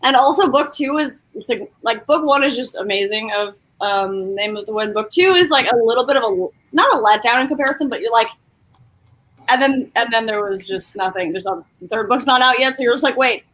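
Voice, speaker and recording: A woman's voice: on the high side, a little fast, fairly bright tone. A woman in a casual group chat about books, explaining her opinions in a chatty way. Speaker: book discussion participant.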